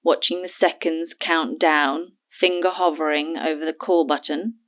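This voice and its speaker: A woman's voice: deep voice, fairly even intonation, normal speed. An actress playing a cagey, mocking woman who needles her listener in a naturalistic audio drama, heard over a phone filter. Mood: needling. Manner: haughty, needling, sardonic. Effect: phone line filter. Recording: tinny narrow phone line.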